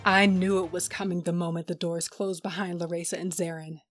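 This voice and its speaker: A woman's voice: fairly low pitch, level tone, brisk, soft background music, lightly acted. An actress playing a sarcastic female pilot and gunslinger in a sci-fi audio drama, with a wry, informal delivery. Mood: wry, tense. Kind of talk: audio drama acting and narration.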